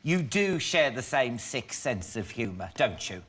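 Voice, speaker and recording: A man's voice: mid-range voice, sing-song, unhurried, a bit bright. A man hosting a chat show, teasing his guests with camp, cheeky banter in front of a studio audience. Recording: studio audience, noisy TV clip.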